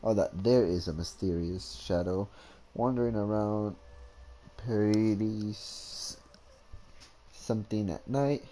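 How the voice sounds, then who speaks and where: Male streamer: soft dark tone, lowish pitch, casual halting gameplay commentary, slangy, slight background noise. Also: steady tone. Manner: halting, slangy, muttering while reading. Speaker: video game streamer.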